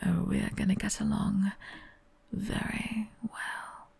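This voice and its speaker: A woman playing a polite, sly servant maid in an ASMR audio drama, soft-spoken and often whispering. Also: mid-range voice, fairly even intonation, takes it slowly, vocal fry, husky, heavily acted.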